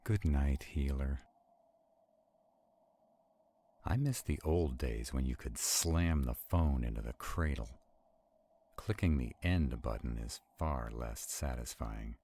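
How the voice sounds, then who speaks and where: An arrogant male vampire acted by a man speaks in an extremely low, husky voice. He takes his time over every phrase, haughty and commanding, protective yet skeptical, as in a supernatural audio roleplay. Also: animated, unhurried, dark tone, full character voice. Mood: irritated, haughty. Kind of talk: roleplay audio drama acting.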